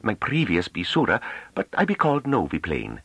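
Man doing a bashful young farm woman, high and broken. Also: huge pitch swings, normal speed, a bit bright, breathy edge, dry recording, full character voice. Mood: breathless. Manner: broken dialect speech, earnest and pleading.

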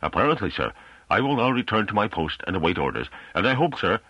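A man's voice: lowish voice, very animated, fast talker, sharp tone, slightly rough, dry recording, pitched above the performer's own voice, heavily acted. A man voicing a big male underling, falsely humble and needling, in an audiobook with a dull recording. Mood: mock humble.

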